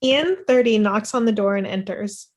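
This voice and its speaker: A woman's voice: medium pitch, normal speed. A middle-aged woman reading screen directions as plain scripted narration over a video call. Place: indoor room at home, video call. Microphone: computer mic on video call.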